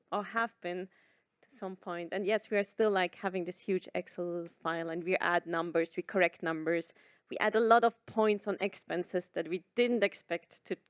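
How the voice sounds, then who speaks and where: A woman's voice: on the low side, clear, resonant tone, no echo, dull audio. A woman who grew up in Switzerland, answering a forum question at length with many fillers and restarts. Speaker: social entrepreneur answering questions. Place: conference room.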